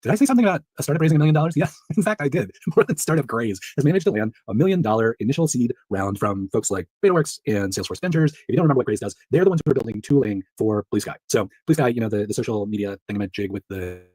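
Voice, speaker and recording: A man hosting a solo startup news recap in a chatty, upbeat way, his voice rough, nasal and stuffed up from allergies. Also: medium pitch, racing, few pauses, no echo. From Pacific Northwest. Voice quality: nasal, monotone. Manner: upbeat, chatty, laughs between items.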